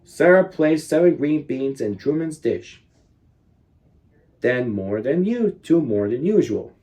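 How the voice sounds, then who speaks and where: A man in his thirties reading a children's picture book aloud expressively, leaning on key words with deliberate dramatic emphasis. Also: mid-range voice, very animated, mellow. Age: 30s.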